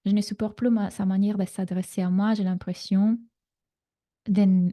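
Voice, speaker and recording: A woman's voice: medium pitch, flat delivery, normal speed, warm tone. A woman in her thirties from Italy with an Italian accent, giving a live online coaching masterclass in a reassuring way with frequent fillers, on a computer mic. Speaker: relationship coach.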